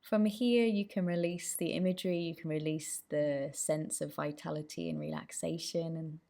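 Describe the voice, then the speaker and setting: Low, creaky-voiced woman guiding meditation. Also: steady tone, moderate pace, mellow. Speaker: meditation guide. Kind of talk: guided meditation.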